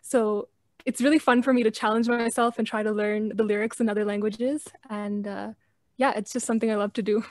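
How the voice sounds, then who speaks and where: Brief: young woman in her twenties, soft and hesitant, dry close sound. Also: on the high side, fairly even intonation, brisk, no echo. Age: 20s. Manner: soft-spoken, hesitant with many ums. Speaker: podcast guest. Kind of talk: casual podcast chat.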